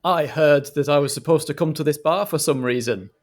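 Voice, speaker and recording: A man's voice: a little high, fairly quick. A man narrating an improvised comedy roleplay as game master.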